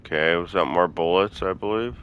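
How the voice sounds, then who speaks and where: Low voiced guy chatting casually through a game playthrough, faint game noise behind him. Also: fairly quick, a bit bright. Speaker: video game streamer.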